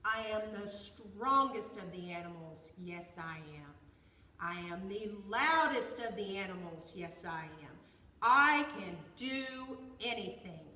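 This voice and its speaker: A woman voicing a boastful, stubborn big bear in a storytelling tale, with loud, emphatic, drawn-out declarations. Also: mid-range voice, lively intonation. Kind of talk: oral storytelling character voice. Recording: echoey hall, distant mic, faint noise.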